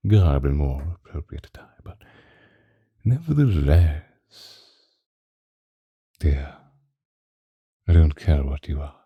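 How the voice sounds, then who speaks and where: A man playing an ancient, courtly vampire lord and devoted lover in a romantic ASMR roleplay, speaking in a breathy, intimate, theatrical way with formal old-fashioned diction. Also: rumbling low, warm tone, no echo, studio quality, full character voice. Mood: tender, devoted. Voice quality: breathy, husky.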